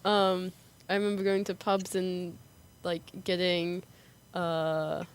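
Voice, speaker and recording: A woman's voice: lowish voice, fairly even intonation, takes it slowly, clear, resonant tone. A woman chatting casually as a radio guest, in informal, tentative, pieced-together phrases. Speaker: radio guest and amateur actress. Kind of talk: casual chat.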